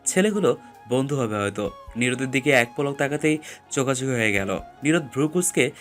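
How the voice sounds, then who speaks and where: A man's voice: mid-range voice, expressive, a little fast, a bit bright, soft background music. A man reading scripted story narration as the narrator.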